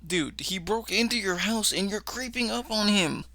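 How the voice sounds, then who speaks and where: A man's voice: sits high, moderate pace, vocal fry, pushed and bright, slight background noise. A man giving casual reaction commentary as a video host, slangy, questioning and incredulous. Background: music.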